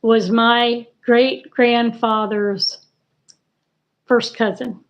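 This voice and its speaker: A woman in her sixties, a guest on a casual livestream chat, talking in an unhurried, rambling way. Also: on the high side, fairly even intonation. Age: 60s. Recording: dull, lo-fi call audio.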